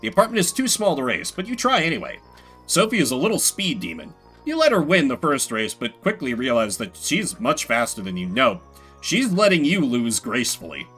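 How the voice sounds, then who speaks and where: A man's voice: a little high, wildly swooping pitch, a little fast, fairly bright tone, slightly rough, faint music behind. A man playing a video game as a streamer, mixing casual commentary with theatrical readings of the on-screen text.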